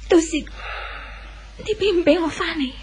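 A remorseful young wife talks hesitantly in an extremely high voice. Her accent is Hong Kong Cantonese, and the delivery is that of 1970s radio drama. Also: moderate pace, darkish tone, a little hiss or hum. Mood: tentative, sad.

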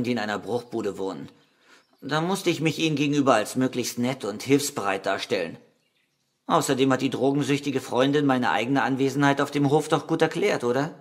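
An actor playing a cynical killer under questioning in a radio crime drama, smug, condescending and calculating. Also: male voice, medium pitch, close to an everyday voice. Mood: mocking.

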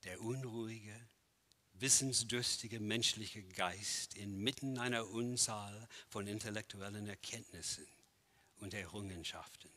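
Slow, bright-toned declaiming man in his 60s. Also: lowish voice. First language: English. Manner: measured, declamatory delivery with dramatic swells and hushed drops. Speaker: lecturer and performer. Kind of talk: theatrical lecture performance. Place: large empty art gallery hall, indoors.

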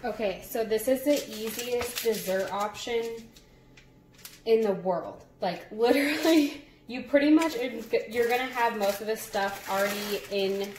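A young woman narrating a casual cook-along in her home kitchen, chatty and relaxed, laughing at her own slips. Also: a little high, measured pace, background noise. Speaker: home cooking vlogger. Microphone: phone camera over the counter.

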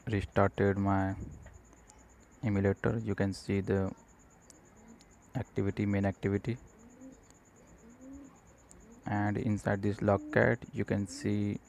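A man narrating an unscripted screencast tutorial, explaining step by step in a halting way. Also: on the low side, creaky, darkish tone. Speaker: programming tutorial presenter. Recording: noticeable background noise.

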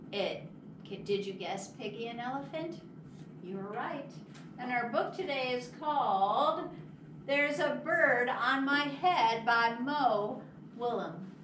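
Slow, expressive woman hosting children's storytime. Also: fairly high pitch. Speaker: children's library storytime presenter. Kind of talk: expressive rhymes and talk to young children. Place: small children's classroom indoors. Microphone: distant camera microphone. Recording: echoey, noisy room, far from mic.

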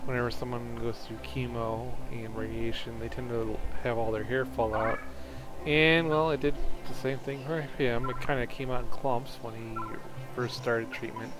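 A male let's player talks casually and off the cuff in a middle-pitched, slightly hoarse voice. He moves haltingly, interrupting himself and restarting his thoughts. Video game music plays clearly behind him. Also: poor audio. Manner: halting, rambling, self-interrupting.

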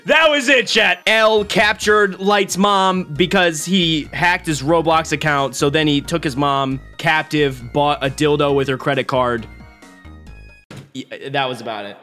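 High, lively young male streamer. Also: a little slow, faint music behind. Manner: energetic, joking, laughs often. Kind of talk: casual live-stream commentary. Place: small home streaming room. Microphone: desk mic on boom arm.

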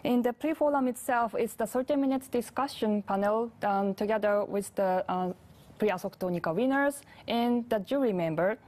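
A woman's voice: on the high side, normal speed, darkish tone. A woman in her thirties with a Japanese accent, carefully giving a formal introduction as a festival host on a lapel mic. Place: indoor studio.

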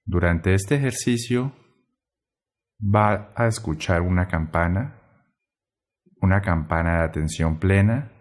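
A man guiding a meditation in a gentle, measured way, pausing between short phrases. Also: low-pitched. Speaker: meditation guide. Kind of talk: guided meditation narration.

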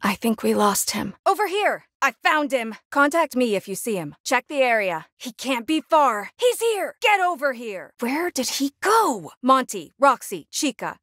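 A woman's voice: high voice, sing-song, a little slow, few pauses, a bit bright, no room sound, clean studio sound, full character voice. A young woman playing a stern, irritated night security guard in a horror video game, exasperated and sometimes sing-song when coaxing. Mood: commanding, urgent.

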